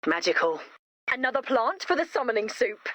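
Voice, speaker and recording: Earnest, high-voiced game heroine. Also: female voice, very animated, normal speed, a bit bright, no room sound. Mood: wry. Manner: chatty, wry, earnest. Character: earnest, romantic, gently bossy young woman organizing monster wedding. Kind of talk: video game voice lines.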